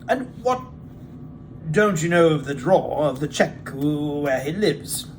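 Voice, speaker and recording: A reserved storybook lawyer, played by a man, questions in a measured, probing manner. The male voice sits high, with faint noise behind it. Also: animated. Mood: curious, probing. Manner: measured, inquiring.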